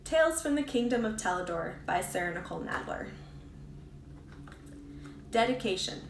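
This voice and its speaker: A woman's voice: medium pitch, very animated, normal speed, creaky, slight background noise. A woman reading a storybook aloud as a scripted narration, as an author reads her own book. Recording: roomy echo, distant from mic.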